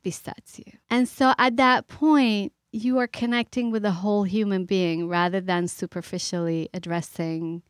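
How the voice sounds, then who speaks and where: Middle-aged woman from iran, earnest guest, talks slow and reflective, dry studio. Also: mid-range voice, fairly even intonation, no room sound. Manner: earnest, thoughtful, pausing with fillers. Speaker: author and guest. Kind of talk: reflective interview talk.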